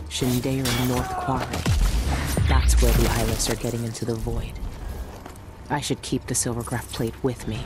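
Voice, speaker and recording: A woman playing a brooding, watchful lone adventurer in a video game, speaking in a low-key, terse way. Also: level tone, moderate pace, over loud music. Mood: wary. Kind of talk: video game character monologue.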